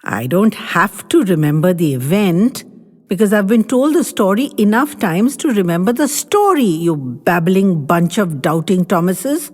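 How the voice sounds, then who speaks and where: A woman's voice: medium pitch, expressive, unhurried, darkish tone. A woman voicing a grandchild who tells the family a story with teasing, bossy confidence. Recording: long hall reverb.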